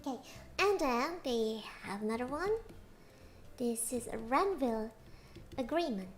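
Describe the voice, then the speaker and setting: A woman with a hoarse, worn voice, teaching history in a chatty stream, laughing often and checking in with "okay?". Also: on the high side, expressive, a little slow, soft background music. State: hoarse, nearly lost voice. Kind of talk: casual history talk on a livestream.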